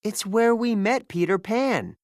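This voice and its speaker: A storybook narrator voicing an earnest, spirited young girl with expressive delivery. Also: mid-range voice, a little slow, dry recording, clean studio sound, voice pushed up. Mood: wistful.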